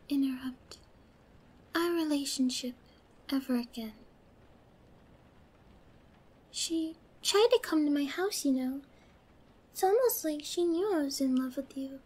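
A woman playing a young, obsessed, possessive girlfriend in an ASMR roleplay, sweet yet menacing, with a soft girlish voice and a coy, drawn-out delivery. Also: sits high, poor audio. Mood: quietly menacing. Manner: slow, coy, drawn-out, slipping into whispers.

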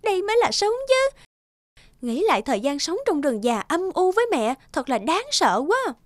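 A woman's voice: extremely high pitch, big pitch swings, moderate pace. A woman playing a vain, spoiled young married lady in a cartoon, dramatic and haughty. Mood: gleeful. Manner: dismissive, dramatic. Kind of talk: animated cartoon voice acting.

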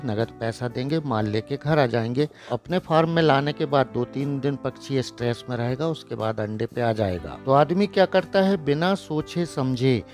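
A man hosting a poultry farming channel, narrating a voice-over with blunt, lecturing and sarcastic remarks about careless buyers. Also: mid-range voice. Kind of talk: voice-over narration for a farm video. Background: soft background music.

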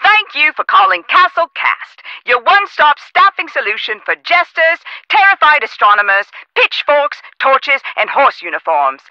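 A woman playing a chatty phone operator in a video game, with expressive customer-service delivery over a muffled line. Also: sits high, no echo, full character voice. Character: perky, chatty castle staffing phone operator. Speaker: call centre operator.

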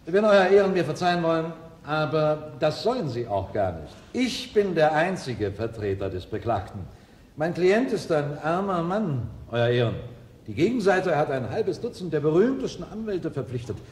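Sharp lawyer in an old radio play, lively voice, bit husky. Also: male voice, fairly high pitch, moderate pace. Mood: pleading. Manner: lively, reasoning aloud. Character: shrewd lawyer man, middle-aged. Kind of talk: 1970s radio play acting.

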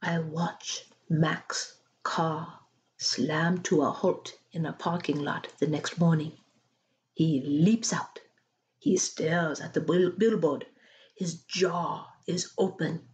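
Woman, middle-aged, storybook narrator, expressive, slow measured delivery, slightly muffled webcam sound. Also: low-pitched. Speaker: storybook reader. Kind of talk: expressive read-aloud of a children's novel. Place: small home room.

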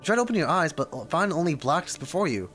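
Male game streamer, lightly high-pitched, informal and rambling, talking to viewers over quiet music. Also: fast talker. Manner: rambling, animated, reads chat aloud. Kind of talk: casual livestream chat. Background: soft game music.

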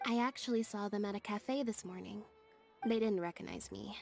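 An actress playing a calm, reserved young woman in a video game, composed and matter-of-fact. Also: medium pitch, a little fast, vocal fry, close to an everyday voice. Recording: faint game music under the voice.

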